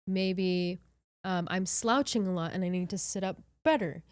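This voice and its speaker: A woman's voice: lowish voice, moderate pace, smooth, dry recording, clean studio sound. Bubbly, chatty woman from Hawaii. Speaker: podcast workshop presenter. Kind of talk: casual webinar chat.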